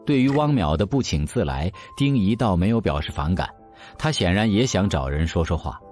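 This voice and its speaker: Deep-voiced male narrator, expressive delivery. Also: normal speed, faint music behind. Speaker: narrator of an audio drama. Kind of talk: scripted dramatic narration of a novel.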